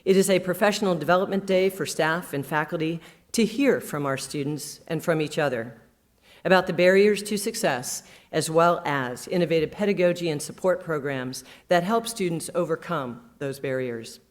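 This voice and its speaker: A woman's voice: sits low, moderate pace. Woman, conference host, formal scripted remarks, speaker introduction. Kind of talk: prepared opening remarks.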